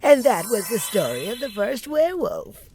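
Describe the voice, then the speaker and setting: An actor playing a calm storyteller in a comic dub, telling children a legend in a measured, expressive way. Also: medium pitch. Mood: calm, matter-of-fact. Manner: measured, unhurried, expressive delivery. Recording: music behind the voice.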